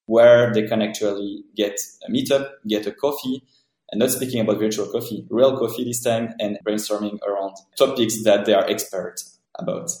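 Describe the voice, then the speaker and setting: A man's voice: on the low side, warm tone. A man with a French accent, a founder answering podcast interview questions earnestly in long, winding sentences. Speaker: startup founder guest. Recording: roomy echo.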